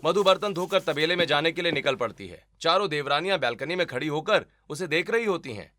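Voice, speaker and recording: A man's voice: fairly high pitch, lively intonation, brisk, bright, forceful tone, no echo, clean studio sound. A man narrating a scripted cartoon family story.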